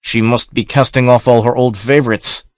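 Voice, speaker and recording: A man's voice: mid-range voice, fairly even intonation, moderate pace, dry recording, studio quality, natural voice. A man doing Olgerd, a cold outlaw chief. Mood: scornful. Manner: cold and commanding. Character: hard, scornful outlaw chief on horseback, a man.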